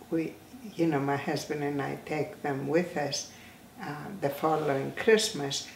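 An elderly woman in her eighties, from Greece, recalls her life in an interview, slow and halting with frequent restarts. Her voice has a gravelly edge. She sits in a room, picked up from a distance with a roomy echo. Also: low voice, slight background noise. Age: 80s. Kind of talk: oral history interview. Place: indoor room, seated in a chair. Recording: roomy, slightly distant sound.

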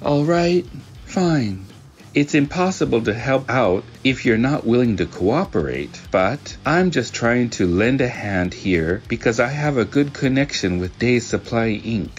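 A man's voice: medium pitch, animated, a little slow, natural voice. A man voicing an earnest, helpful young office worker in a manga dub, polite and supportive. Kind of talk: manga dub character acting.

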